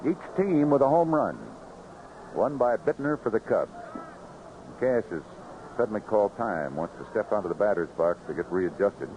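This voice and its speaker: American baseball announcer on noisy vintage radio. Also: male voice, fairly low pitch, normal speed, dull audio. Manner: animated calls rising sharply on big plays, clipped phrases. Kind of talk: live radio baseball play-by-play. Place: ballpark broadcast booth.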